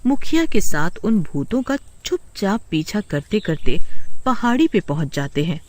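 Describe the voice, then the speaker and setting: A man's voice: sits high, very animated, a little slow, a little mellow. A man narrating a horror story in a dramatic storytelling manner.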